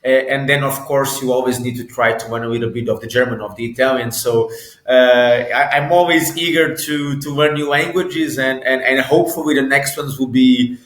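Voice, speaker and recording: A man's voice: medium pitch, fairly even intonation, normal speed, a bit bright, roomy sound. A man from Portugal in his thirties, a guest in an interview, talking with many restarts and repeated words. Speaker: guest, football agent and sports lawyer. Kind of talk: conversational interview.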